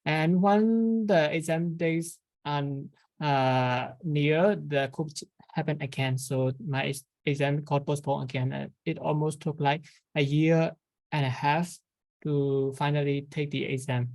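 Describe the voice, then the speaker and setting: A woman's voice: deep voice, steady tone, unhurried, darkish tone, no room sound, rough recording. A woman language instructor speaking on a webinar panel, hesitant and full of "uh" fillers and restarts.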